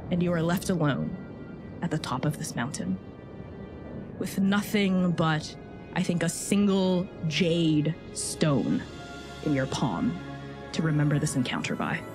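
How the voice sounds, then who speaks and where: A woman's voice: deep voice, lively intonation, measured pace, pauses often. Woman narrating fantasy over music. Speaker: guest game master. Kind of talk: narrating a tabletop fantasy game. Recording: music behind the voice.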